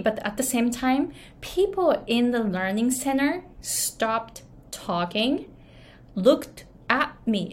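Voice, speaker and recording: Japanese-accented woman coach, unhurried friendly storytelling, frequent laughs. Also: a little high. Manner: laughs often, many fillers and restarts. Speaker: English pronunciation coach. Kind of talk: casual talk, storytelling tips.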